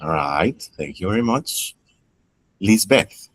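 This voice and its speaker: A man's voice: low-pitched, expressive, takes it slowly, fairly bright tone, slight background noise. A male English teacher calling students by name in a class and thanking each one briefly.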